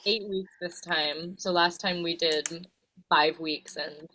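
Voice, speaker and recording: A woman trainer speaking over a video call in a very low voice. She talks slowly and thoughtfully, often trailing off mid-phrase. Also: steady tone, bright, forceful tone, a little hiss or hum, rough recording. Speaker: trainer for mothers. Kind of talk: casual video-call interview.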